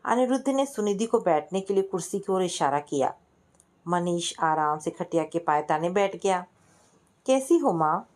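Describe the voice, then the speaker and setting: Female storyteller for scripted family fiction, slightly low register, narration with acted dialogue. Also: moderate pace, darkish tone.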